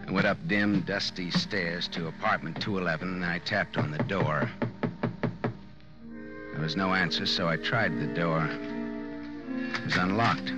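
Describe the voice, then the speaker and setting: An American actor playing a wisecracking, tough private detective in old-time radio noir, wry and deadpan. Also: male voice, low-pitched. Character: wisecracking, tough male private detective narrating his case. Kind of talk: 1940s radio noir acting and hardboiled narration. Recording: muffled old-time radio recording with music.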